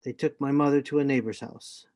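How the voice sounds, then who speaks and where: A man's voice: medium pitch, steady tone, fairly quick, a little mellow, lightly acted. A man playing a grown adventurer who narrates his own story in scripted first person for a radio play. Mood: storytelling. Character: grown Jim Hawkins recounting his adventure.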